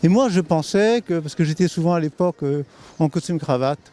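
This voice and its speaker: A man in his sixties from French Guiana, being interviewed on the street and telling measured anecdotes with a soft chuckle. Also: on the high side.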